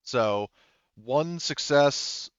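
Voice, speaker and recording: A man's voice: on the high side, lively intonation, extremely slow, clean tone, dry recording, muffled recording. A man running a tabletop roleplaying game as game master, talking casually and hesitantly as he thinks aloud.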